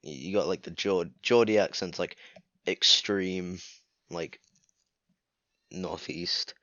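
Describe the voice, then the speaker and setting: A young man from northwest England, a podcast guest chatting casually in a relaxed, rambling way, leaning on "like" a lot. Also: deep voice, takes it slowly, no room sound, muffled recording. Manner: relaxed, rambling, heavy use of "like". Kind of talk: casual chat.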